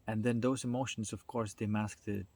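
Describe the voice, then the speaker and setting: Male speaker, lowish pitch, informal explainer. Thoughtful, halting phrasing with conversational tags. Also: level tone, a little mellow, dry recording. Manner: reflective, halting delivery with checking tags like "right?" and "you know". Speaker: speaker giving a self-development talk.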